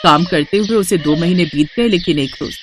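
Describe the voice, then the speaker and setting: A woman's voice: fairly low pitch, brisk. Woman narrating a story over music. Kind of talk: scripted moral story narration. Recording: music under the voice. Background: background music.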